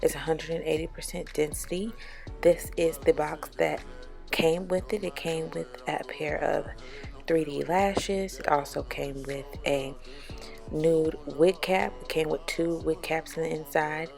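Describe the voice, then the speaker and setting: A young woman talking through a wig review and bleaching tutorial step by step, her voice raspy as she gets over being sick. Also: low voice, a little slow, faint music behind. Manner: steady explaining, step-by-step with fillers. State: raspy from recovering illness. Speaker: beauty vlogger.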